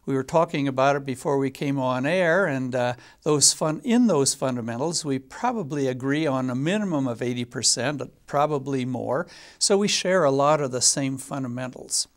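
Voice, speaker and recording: A man in his seventies hosting a local TV panel discussion, speaking haltingly with frequent pauses and fillers. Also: mid-range voice. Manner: hesitant, many filler pauses. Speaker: talk show host.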